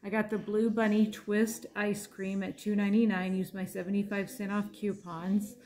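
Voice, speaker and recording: A woman's voice: medium pitch, little pitch movement, moderate pace, a little mellow. An American woman casually narrating a shopping haul, chatting through it item by item. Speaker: grocery haul vlogger. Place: indoors at a home dining table.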